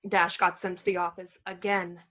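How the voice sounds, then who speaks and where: Woman acting a bright-voiced firm mother, muffled recording. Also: on the low side, level tone, moderate pace, close to an everyday voice. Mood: exasperated. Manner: admonishing, exasperated. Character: patient but firm superhero mother. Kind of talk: online script table read.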